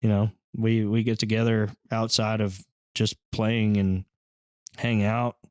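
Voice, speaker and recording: A man's voice: on the low side, level tone, a little slow, darkish tone, dry recording, studio quality. A man from Texas, a band's lead singer talking in a casual, modest, plain-spoken way as a podcast guest. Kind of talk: casual chat.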